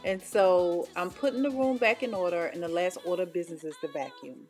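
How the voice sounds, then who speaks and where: A woman's voice: lowish voice, fairly quick, over music. Chatty woman narrating her home chores. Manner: chatty, explaining with frequent ums. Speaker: home vlogger. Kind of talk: casual voice-over narration.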